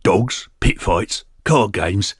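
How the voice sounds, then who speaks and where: A man voicing a big, grinning male mercenary with a gruff, teasing manner. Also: lowish voice, expressive, slow pace, dry recording, clean studio sound, exaggerated voice.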